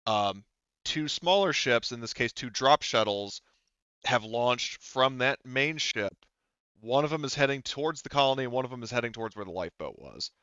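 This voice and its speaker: A man narrating a tabletop roleplaying game as game master, improvising in a halting, hedging way. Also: medium pitch, lively intonation, sharp tone, smooth, no room sound, highs cut off.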